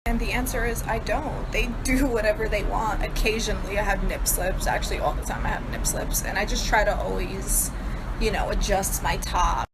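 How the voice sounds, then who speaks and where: A woman's voice: medium pitch, normal speed, very noisy. A woman from New York, a native Serbo-Croatian speaker, answering fan questions in a casual, blunt and profane vlog recorded on her phone. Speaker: social media personality.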